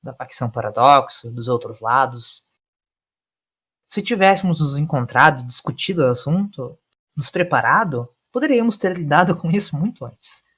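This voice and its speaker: A man as an earnest, higher-pitched time traveler. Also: animated, moderate pace, fairly bright tone, breathy edge. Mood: earnest, reflective. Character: idealistic long-haired male time traveler, reasonable.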